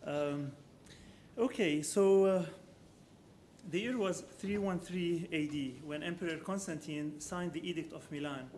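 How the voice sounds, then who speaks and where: A man with a somewhat high voice gives an academic architecture lecture, measured and unhurried. He thinks aloud as he goes, filling pauses with ums and correcting himself mid-sentence. A faint low rumble sits under the recording. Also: darkish tone. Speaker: architecture professor giving a lecture.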